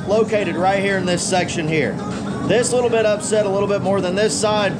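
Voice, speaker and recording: A man's voice: sits high, fairly even intonation, brisk, over loud music. A man giving a casual, step-by-step hands-on tutorial as an instructor, talking informally to viewers. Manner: informal, addresses viewers as guys, explains step by step. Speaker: blacksmith instructor, host. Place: outdoor home forge work area. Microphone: camera on a tripod above the anvil. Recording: loud outdoor background noise.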